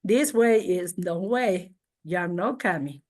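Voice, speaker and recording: A middle-aged woman from the Canary Islands with a Spanish accent, a native Spanish speaker, explaining and demonstrating informally in expressive non-native English. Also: on the low side, slow. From Tenerife, Canary Islands, Spain. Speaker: guest craft and culture presenter.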